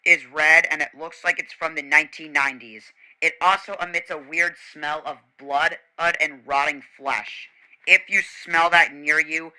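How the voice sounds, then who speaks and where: A man's voice: on the high side, pushed and bright, low-quality recording. A man reading a scripted mock emergency broadcast as a stern announcer, repeating warnings and stumbling over words.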